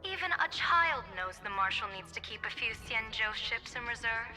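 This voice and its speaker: A woman's voice: on the high side, animated, normal speed, over music, lightly acted, low-quality recording. An actress voicing a proud, sardonic female military commander, speaking in a cutting, formal way in a video game drama. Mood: sardonic, challenging.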